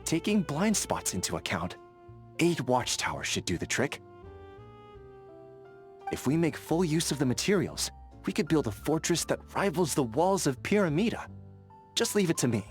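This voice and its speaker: An actor playing an earnest, vigilant young sentry in a fantasy video game, friendly but overcautious, speaking in a methodical, conversational way. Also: male voice, on the high side, very animated, moderate pace, pauses often, soft background music. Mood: earnest, cautious. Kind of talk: video game character dialogue.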